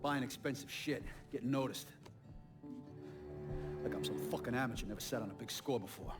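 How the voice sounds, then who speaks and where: An actor playing a weary but proud mobster in a video game, blunt, streetwise and profane. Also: male voice, mid-range voice, fairly quick, natural voice. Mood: bitter. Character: weary, defiant, foul-mouthed veteran mobster, cornered but proud. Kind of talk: cinematic game dialogue. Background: guitar music.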